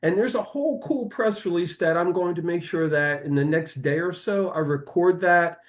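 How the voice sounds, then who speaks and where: Guy hosting a chatty interview show, voice on the higher side, kinda deliberate and wordy. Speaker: interview host. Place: remote call, recorded on a backup device.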